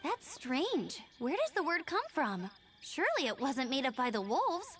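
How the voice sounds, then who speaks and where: A woman's voice: sits high, huge pitch swings, moderate pace, a bit bright, faint music behind, exaggerated voice. A woman playing a spirited, confident young knight in a video game, questioning and dutiful. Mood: inquisitive. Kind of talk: English video game voice acting.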